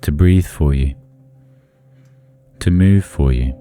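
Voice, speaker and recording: A man leading a guided meditation in a soothing, deliberate manner, leaving long pauses between phrases. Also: extremely low pitch, dark tone, faint music behind.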